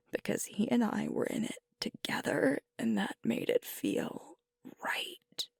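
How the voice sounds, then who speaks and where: A woman playing a sweet, vulnerable alpha werewolf girlfriend in an intimate ASMR roleplay, speaking softly and hesitantly as she grieves. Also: mid-range voice, no echo, studio quality, full character voice. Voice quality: soft, breathy, often whispered. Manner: slow, hesitant, confiding, with pauses.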